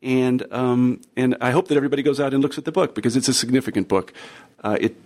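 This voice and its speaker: Man, podcast host, mid-pitched voice, measured intro, chatty, close mic. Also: steady tone, a little mellow. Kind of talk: scripted intro then interview.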